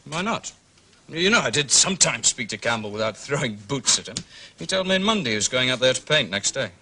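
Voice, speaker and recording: A sardonic gentleman artist, drawling and theatrical. The voice is bright and very varied in pitch, radio drama style, with a faint hiss behind it. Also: sing-song, a little fast, heavily acted. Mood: mocking. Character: flippant, theatrical gentleman painter, mocking and evasive.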